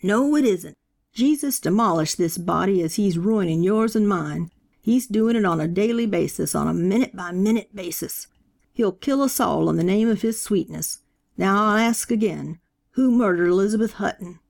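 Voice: mid-range voice, lively intonation, moderate pace, vocal fry, darkish tone. A narrator voicing a fervent elderly male preacher who fires rhetorical questions at a funeral gathering. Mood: preaching. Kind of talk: audiobook character voice.

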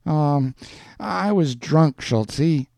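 A man voicing a coy, evasive woman who teases a suitor in a slow, noncommittal way, in a story reading. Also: fairly high pitch, animated, creaky, a little mellow, no room sound, voice pushed up, full character voice. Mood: reluctant.

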